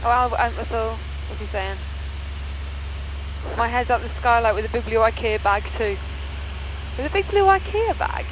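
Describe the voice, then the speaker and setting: A woman's voice: fairly high pitch, unhurried, long pauses, highs cut off, background noise. A woman chatting casually and candidly as a passenger, speaking over a headset in a small aircraft. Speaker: passenger on a light aircraft flight. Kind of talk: casual chat. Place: small microlight aircraft cockpit in flight.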